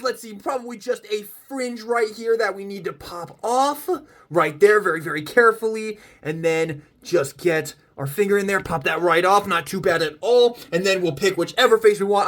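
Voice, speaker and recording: Male reviewer, high-pitched voice, enthusiastic fan delivery, casual unboxing chat. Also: a bit bright. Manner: gushing, enthusiastic fan talk. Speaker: toy reviewer.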